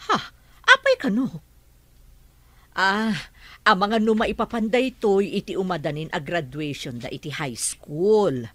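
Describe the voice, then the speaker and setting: Radio drama mother, middle-aged: anxious, emotional, very animated mid-range delivery. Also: medium pitch, measured pace, long pauses, no echo. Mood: alarmed, upset. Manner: emotional, fretful. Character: anxious middle-aged mother, fretting over her wayward daughter.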